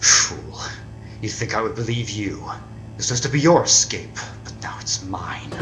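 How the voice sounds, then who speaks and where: Arrogant ancient villain, wildly swinging delivery. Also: male voice, lowish voice, lively intonation, unhurried, a bit bright, faint music behind. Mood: gloating. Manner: theatrical, contemptuous. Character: arrogant, sneering ancient criminal, ten thousand years old. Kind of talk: 1940s radio drama acting. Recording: echoey, noisy amateur home recording.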